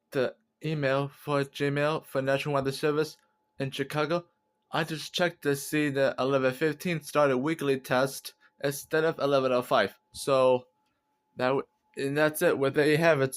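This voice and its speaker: A man with a clean, smooth voice at a middling pitch talks informally, as in a home hobby vlog. He sounds like he is half talking to himself. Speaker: video creator, weather radio hobbyist. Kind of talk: casual home vlog chat.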